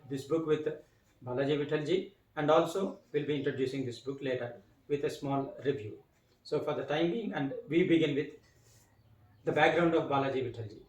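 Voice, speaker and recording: Higher-voiced male host, echoey room. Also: darkish tone, recorded from a distance. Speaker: interview host. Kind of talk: formal introduction and questions. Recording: roomy, mic set back.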